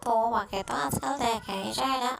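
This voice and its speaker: A woman's voice: fairly high pitch, a little fast, gravelly, far from the mic, music in the background. A woman voicing a friendly, helpful village neighbour in a cartoon fairy tale.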